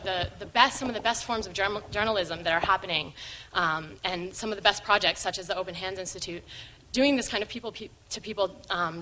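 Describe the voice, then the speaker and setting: A woman's voice: mid-range voice, a bit bright, a little hiss or hum, rough recording. A young woman asking a question from the audience, speaking hesitantly with many fillers. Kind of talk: question from the floor. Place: lecture hall.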